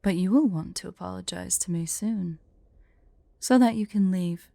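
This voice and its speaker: A woman playing a haughty, prickly but secretly fond female dragon in an ASMR roleplay, imperious and deliberate. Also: lowish voice, vocal fry, dark tone, dry recording. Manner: imperious, indignant, slow and deliberate.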